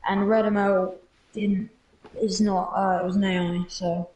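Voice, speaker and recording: A woman's voice: medium pitch, flat delivery, measured pace, darkish tone, slight background noise. A woman co-hosting a casual stream, giving brief, matter-of-fact replies. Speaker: co-host. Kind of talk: casual chat. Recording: echoey, distant from the mic.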